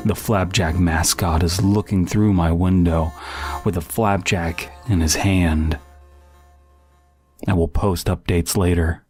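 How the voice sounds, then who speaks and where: A man reading an online horror story aloud in a deadpan manner. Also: low-pitched, slow pace. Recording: loud music under the voice.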